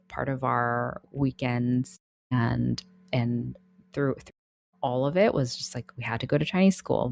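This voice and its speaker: Low-voiced American woman co-hosting a podcast. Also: creaky, darkish tone. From United States. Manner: measured, thoughtful, with many restarts. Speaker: podcast co-host, therapist. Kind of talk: casual podcast conversation.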